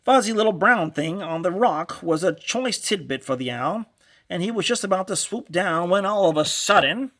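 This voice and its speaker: Male read-along narrator, high-pitched, bright, animated and theatrical storytelling for kids. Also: moderate pace. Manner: dramatic, expressive.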